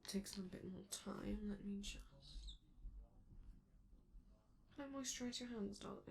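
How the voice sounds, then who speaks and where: A woman voicing a flamboyant, affectionate robot entertainer in an intimate ASMR roleplay, softly and hesitantly, slipping toward whispers with theatrical endearments. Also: mid-range voice, a little fast, creaky, a little hiss or hum, close to an everyday voice. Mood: gentle, attentive.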